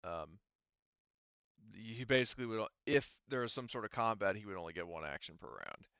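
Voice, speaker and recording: A man's voice: fairly low pitch, animated, brisk, gravelly, pushed and bright, clear, resonant tone, dry recording, dull audio. A man running a tabletop role-playing game, explaining things casually and hesitantly as he thinks aloud. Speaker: game master.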